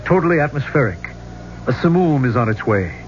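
A man's voice: mid-range voice, sing-song, a little fast, close to an everyday voice. An actor playing a brash, insistent younger man in a 1970s radio drama, talking in a blunt, persuasive way. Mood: urgent. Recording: muffled, noisy old radio recording.

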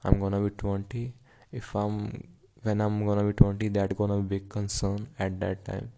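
A nineteen-year-old man, rambling casually at home in a halting way, full of fillers and restarts. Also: on the low side, completely flat delivery, creaky, dark tone. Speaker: vlogger.

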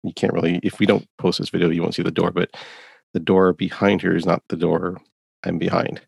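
Gravelly, deep-voiced laid-back man. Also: level tone, fairly quick, dry recording. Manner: laid-back, agreeable, short replies. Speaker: podcast co-host. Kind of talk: casual chat. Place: small warm closet. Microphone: video call audio.

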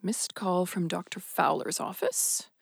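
A determined, embittered policewoman in an audio drama. Her voice is gravelly with fry and recorded very dry. She talks in a naturalistic, salty way, defiant at heart. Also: lowish voice, unhurried, no room sound, heavily acted. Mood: weary, wry. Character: tough, embittered, determined policewoman on forced leave.

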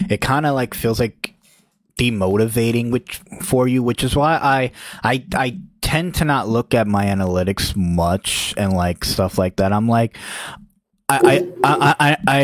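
A man who makes video reviews, talking in a casual group chat in a flat, monotone voice with many halting restarts. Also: medium pitch, no echo, clean studio sound. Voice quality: self-described monotone voice.

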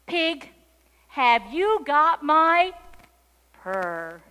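A woman voicing a small, plaintive female kitten with pleading, drawn-out questions in a storybook reading. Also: very high-pitched, big pitch swings, a bit bright, long reverb tail, voice pushed up, heavily acted. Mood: eager, questioning.

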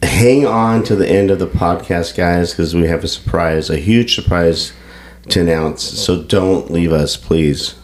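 A man's voice: low voice, measured pace. A man co-hosting a podcast, congested and stuffy, talking in loose rambling chat with frequent restarts. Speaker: podcast co-host. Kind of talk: casual chat.

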